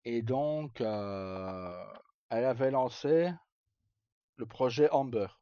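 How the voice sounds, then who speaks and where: A man's voice: medium pitch, slow, dark tone, clean tone. A man chatting casually to live viewers as a streamer, rambling and hesitant in colloquial speech with some swearing. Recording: dull lo-fi mic in echoey room.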